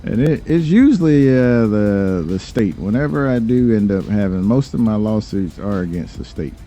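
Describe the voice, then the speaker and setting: Deep, dark-toned man, dryly condescending. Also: animated, normal speed, muffled recording, soft background music. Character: confident, mocking crime-boss lawyer. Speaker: roleplay gamer playing a lawyer. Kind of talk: in-character roleplay banter.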